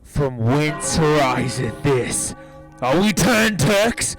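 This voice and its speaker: A man's voice: mid-range voice, slow pace, music in the background. A man playing a stern, outraged general giving commands in theatrical Shakespearean style. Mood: thunderous outrage.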